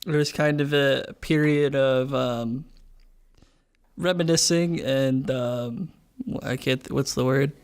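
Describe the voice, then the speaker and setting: A man casually recapping his character's story as a game podcast player, hesitating and pausing to find words. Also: mid-range voice, fairly even intonation, clear, resonant tone.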